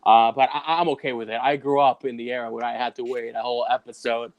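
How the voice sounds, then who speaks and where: A man from Ecuador, guest on a casual comics chat, upbeat and animated in how he talks. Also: medium pitch, quick pace, dry recording, highs cut off. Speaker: guest co-host.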